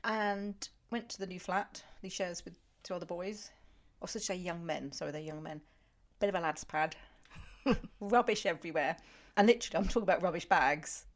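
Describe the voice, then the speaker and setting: A woman's voice: fairly low pitch, moderate pace. A woman in her fifties co-hosting a podcast, chatting with quippy, playful asides and teasing remarks. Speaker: podcast co-host. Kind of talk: casual chat.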